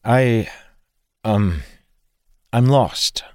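A proud, prickly old soul trapped in a youthful body, voiced by a male reader, formal and easily affronted. His voice jumps up in pitch when he bristles, in a dry, close recording. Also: animated, drawn-out words, no echo. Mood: awkward. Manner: indignant, fussy, formal.

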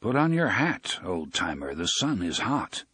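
A calm, commanding gunslinger, voiced by a male narrator, quiet and husky in a dry, close recording. He speaks tersely and courteously, a storybook hero of few words. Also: low voice, measured pace, no echo, pitched below the performer's own voice, exaggerated voice.

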